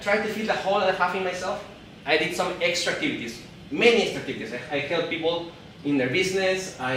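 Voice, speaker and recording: A man from Peru in his thirties, speaking English with a Peruvian Spanish accent, gives a live talk to an audience. He speaks haltingly, often repeating and restarting words. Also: high-pitched, a bit bright, very echoey, recorded from a distance, a little hiss or hum, low-quality recording. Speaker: event speaker. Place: event hall.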